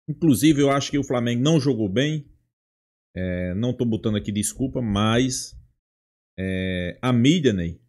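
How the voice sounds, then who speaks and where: A man with a Brazilian accent giving casual football fan commentary in a halting way, often adding tag questions. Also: medium pitch, darkish tone. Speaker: football channel host.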